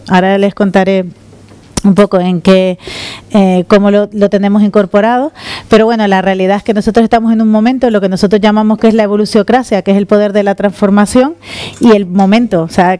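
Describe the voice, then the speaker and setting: A woman's voice: medium pitch, clear, resonant tone, no room sound. Woman from the Canaries giving a talk. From Canary Islands. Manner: lively, gesturing while walking on stage. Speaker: conference speaker. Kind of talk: conference talk. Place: conference room with audience. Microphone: handheld microphone.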